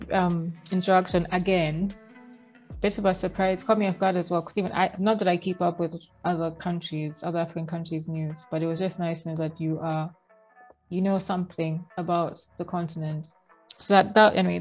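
A woman's voice: fairly low pitch, a little mellow. A young woman from Kenya talks through a headset on a video call, chatty and reminiscing, often restarting her sentences. Her voice sits in a middle range. The sound is a little dull, with faint music underneath. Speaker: vlogger host. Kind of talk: casual video call chat. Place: home room with shelves, indoors.

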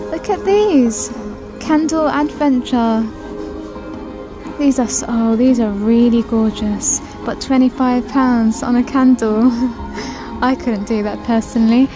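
Woman filming a shop walkthrough, bit high voice, gushes over stuff and reads prices, music playing under it. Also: rough recording. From United Kingdom. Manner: chatty, gushing over items and reading out prices. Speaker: shopping vlogger. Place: large supermarket, indoors.

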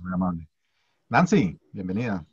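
A man's voice: sits low, big pitch swings, moderate pace, breathy edge, no echo. A man hosting an online meeting, calling on participants one by one over a video call. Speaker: meeting host. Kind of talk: casual meeting moderation. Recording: dull lo-fi video call audio.

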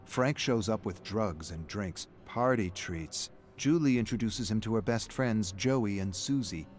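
A man reading a scripted, dramatic story narration as the narrator. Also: deep voice. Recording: background noise under game narration.

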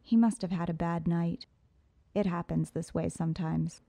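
Woman narrator, first-person audiobook, poised and sultry, lowish voice, close and dry. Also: fairly even intonation, normal speed, gravelly, dark tone, no echo.

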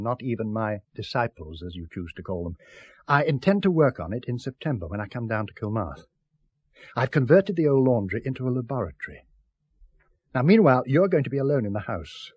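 An assured, secretive British scientist in a seventies radio play. His voice is slightly husky and very animated, with articulate, earnest, insistent delivery. The recording is dry and close. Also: mid-range voice, a little fast, no room sound. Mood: earnest, persuasive. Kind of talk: 1970s British radio drama acting.

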